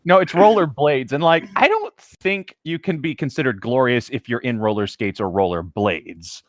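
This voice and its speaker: A man's voice of ordinary pitch, recorded close and dry. He moves between mock dramatic reading and casual banter with lots of swing in his intonation. His delivery is hesitant and amused, as a comedic co-host. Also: very animated, moderate pace, no echo. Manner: hesitant, amused, prone to breaking into laughter. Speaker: co-host reading fan fiction aloud with commentary.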